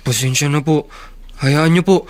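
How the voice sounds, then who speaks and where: An actor with a Filipino accent playing a meek, apologetic young gardener in a radio drama, deferential and hesitant. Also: male voice, on the high side, moderate pace, natural voice. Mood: apologetic, flustered.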